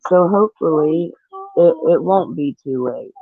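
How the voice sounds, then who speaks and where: A man talks through his opinion slowly and deliberately. His voice sits a little high for a man, and it comes through thin and phone-like, with halting gaps between phrases. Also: lively intonation, gravelly, no echo. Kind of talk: casual opinion talk. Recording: muffled, phone-like lo-fi audio.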